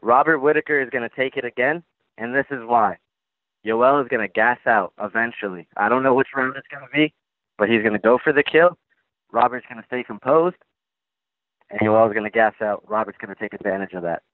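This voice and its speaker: A man's voice: medium pitch, brisk, no room sound. An eighteen-year-old man, a fighter talking brashly on a phone line and giving boastful guarantees. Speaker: guest fighter. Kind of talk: phone interview. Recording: tinny dry phone line.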